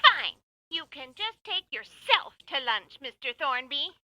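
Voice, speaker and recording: A woman playing a prim high-voiced secretary. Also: very animated, unhurried, sharp tone, slight background noise, heavily acted. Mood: snippy. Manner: crisp, formal phone manner. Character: prim, snippy female office secretary. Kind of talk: amateur radio play acting.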